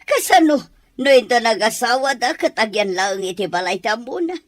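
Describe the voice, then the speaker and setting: A scheming, disdainful mother in an audio drama, acted by a woman. Her voice sits fairly high and moves expressively, with emphatic delivery and a dry, close sound. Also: lively intonation, moderate pace, no echo, lightly acted. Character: scheming, disapproving mother, middle-aged or older. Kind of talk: Ilocano radio-style drama acting.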